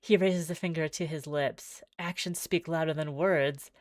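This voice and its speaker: A woman's voice: low voice, fairly even intonation, normal speed, no room sound, studio quality. A woman streaming a visual novel, reading the text aloud theatrically with wry, exclaiming asides.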